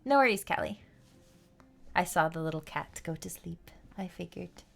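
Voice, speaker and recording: A woman's voice: on the low side, lively intonation, normal speed, creaky. A woman chatting casually on a live game stream, explaining things in a rambling, chatty way over game music.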